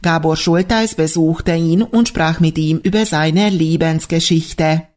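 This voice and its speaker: A woman presenter reading scripted radio announcements in a clear, formal, measured manner. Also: sits low, darkish tone.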